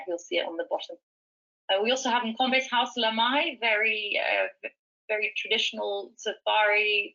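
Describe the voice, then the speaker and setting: A woman's voice: mid-range voice, bright, forceful tone, muffled recording. A woman presenting travel options in an enthusiastic way, with frequent fillers and restarts. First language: speaks fluent Swahili. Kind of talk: webinar presentation.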